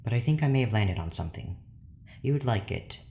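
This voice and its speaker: An actor playing an obsessive, lonely, overworked scientist in an audio drama, formal and brooding. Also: male voice, lowish voice, expressive, a little fast, creaky, dark tone. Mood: quietly driven. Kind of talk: audio drama monologue, diary-like.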